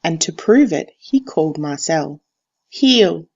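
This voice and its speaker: A teacher reads a picture book aloud in a deliberate, expressive storytelling style, on a slightly dull recording. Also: mid-range voice. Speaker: teacher reading to a class.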